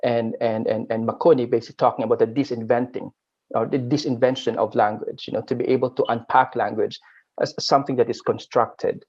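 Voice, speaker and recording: Halting Filipino man at a panel, muffled. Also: mid-range voice, fairly even intonation, darkish tone, husky. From Philippines. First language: Aklanon. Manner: halting, with frequent word repeats. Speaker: academic panelist. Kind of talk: academic roundtable talk.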